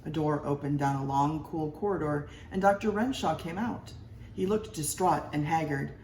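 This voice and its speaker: A woman's voice: low-pitched, moderate pace, darkish tone. A woman narrating a scripted story aloud with expression. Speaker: story reader. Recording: roomy, distant mic, faint noise.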